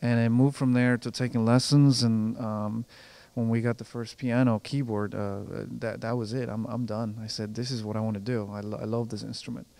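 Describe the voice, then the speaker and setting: A man's voice: mid-range voice, flat delivery, moderate pace, few pauses, darkish tone, no echo. A young adult man from Colombia, a composer and pianist answering interview questions in a hesitant way, with many restarts and fillers. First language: Spanish.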